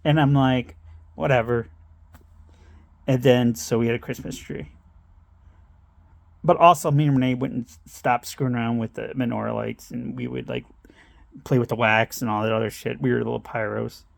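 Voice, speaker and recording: Middle-aged man, gravelly, dry close sound; rambling anecdote teller who loses his own thread. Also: medium pitch, flat delivery, normal speed, dry recording. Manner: meandering, self-interrupting, laughs at himself. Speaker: storyteller.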